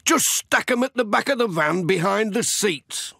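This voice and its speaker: A man's voice: high voice, lively intonation, moderate pace, gravelly, bright, forceful tone, no room sound, clean studio sound, voice pushed up, heavily acted. A man playing a hearty, helpful village shopkeeper in a children's audiobook. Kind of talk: children's audiobook character reading.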